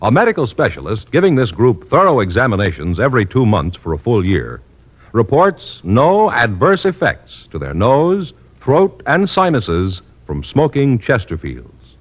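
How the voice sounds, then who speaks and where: A man's voice: wildly swooping pitch, moderate pace, slightly rough. Emphatic old-time radio ad man. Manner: emphatic, sing-song sales pitch. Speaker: commercial announcer. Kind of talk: 1950s radio cigarette ad. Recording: dull old radio broadcast.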